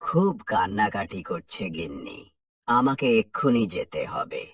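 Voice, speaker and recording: A man's voice: fairly low pitch, very animated, measured pace, fairly bright tone, no echo, studio quality, exaggerated voice. A man playing a troubled village watchman who pleads with his friend in a cartoon folk tale. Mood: troubled, pleading.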